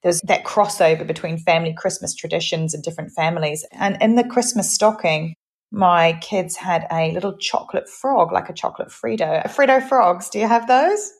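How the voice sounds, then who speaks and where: Middle-aged podcast co-host, a woman with a softened Kiwi accent, chatty, self-mocking, laughs a lot. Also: fairly low pitch, moderate pace. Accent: New Zealand, softened by English. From New Zealand. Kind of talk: casual chat.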